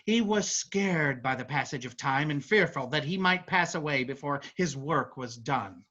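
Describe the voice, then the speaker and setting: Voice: mid-range voice, animated, moderate pace, a bit bright, lo-fi sound. A performer playing a chatty narrator telling a folk tale in a staged reading, with conversational asides. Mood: storytelling. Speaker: narrator of a play. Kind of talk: theatrical storytelling in a staged reading.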